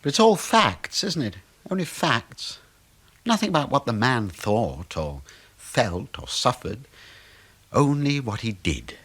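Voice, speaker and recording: An actor in a radio play voicing a cheeky, breezy middle-aged British suburban husband who teases and muses like a know-all. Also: male voice, mid-range voice, very animated, slow, a bit bright, breathy edge, heavily acted. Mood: musing, opinionated. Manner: breezy, teasing, musing.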